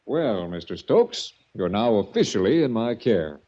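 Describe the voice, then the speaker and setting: An actor playing a smug, menacing villain with mocking courtesy in a 1940s radio mystery. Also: male voice, on the low side, very animated, a little slow, warm tone, a little hiss or hum. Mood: smugly menacing. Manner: mockingly courteous, taunting, articulate. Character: smooth, smug, menacing villain framing his captive. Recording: dull vintage 1940s radio recording.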